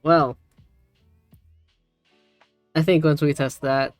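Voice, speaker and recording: A woman with an exceptionally low voice hosts a casual tutorial stream. She talks slowly and informally, with soft music behind her. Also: steady tone, dry recording. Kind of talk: casual livestream chat.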